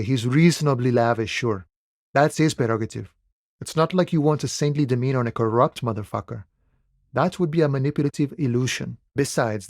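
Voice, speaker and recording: A man's voice: mid-range voice, a little mellow, no echo, studio quality, natural voice. Man acting laid-back philosophical cowboy-ish dock worker. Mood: wry, philosophical. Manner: unhurried, folksy musing, calls people friend and boya. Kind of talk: video game character dialogue.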